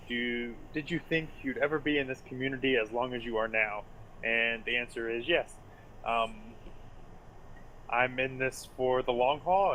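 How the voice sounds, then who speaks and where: Man, mid pitch, relaxed and fairly soft, casual staff member in a chat. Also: a little hiss or hum, low-quality recording. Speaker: gaming community staff member. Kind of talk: casual group Q&A.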